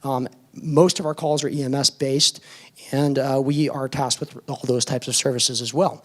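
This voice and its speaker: Hoarse fire chief giving a talk. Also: male voice, medium pitch, fairly even intonation, normal speed. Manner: informal, with frequent ums and uhs. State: hoarse, losing his voice. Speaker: fire department battalion chief presenting at a forum. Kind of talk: public presentation to an audience.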